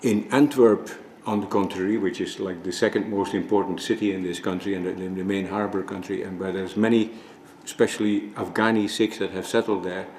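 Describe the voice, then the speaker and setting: A man from the Netherlands giving a formal presentation at a conference, measured and often restarting his sentences. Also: low-pitched, steady tone, a little mellow, slight background noise. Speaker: conference speaker. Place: conference room. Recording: echoey hall with faint ambience.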